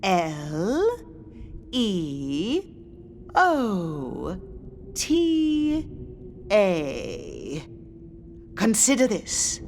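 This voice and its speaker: Slow, swooping, theatrical ghostly séance medium. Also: female voice, mid-range voice, a little hiss or hum. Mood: ominous, challenging. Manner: slow, dramatic, mysterious, commanding delivery with formal old-fashioned wording. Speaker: séance guide leading a card ritual. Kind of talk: scripted theatrical audio drama narration.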